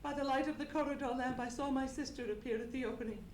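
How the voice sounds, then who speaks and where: Radio-drama heroine played by a woman: haunted young lady, high voice, formal dramatic delivery, echoey stage sound. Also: fast talker, close to an everyday voice. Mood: horror-stricken. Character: frightened, grieving young gentlewoman recounting her terror. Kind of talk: live old-time radio drama acting. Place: theatre stage. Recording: echoey theatre stage, noisy live recording.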